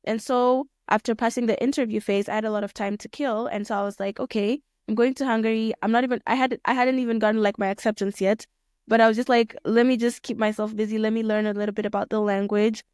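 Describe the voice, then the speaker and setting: A young woman from Kenya answering interview questions in a relaxed, thoughtful way, often rephrasing mid-sentence with plenty of fillers. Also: a little high, fast, clean tone, no room sound, studio quality. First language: Swahili. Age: young adult. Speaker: guest, pilot student.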